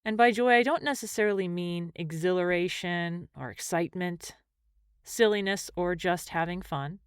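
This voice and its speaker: Smooth-voiced woman guiding a meditation. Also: on the low side, no room sound, studio quality. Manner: gentle, measured, with long pauses between phrases. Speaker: mindfulness and meditation teacher. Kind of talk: guided meditation narration.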